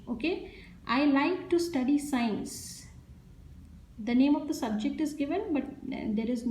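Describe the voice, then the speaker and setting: A woman's voice: high voice, mellow. A woman with an Indian English accent teaching a grammar lesson, explaining step by step and repeating each example sentence. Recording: echoey room sound.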